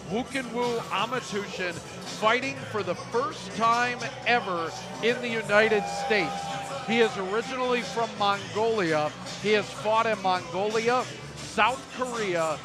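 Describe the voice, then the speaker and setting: A man calling a live fight as a commentator, with a measured, drawn-out announcer delivery. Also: high-pitched. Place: live arena event.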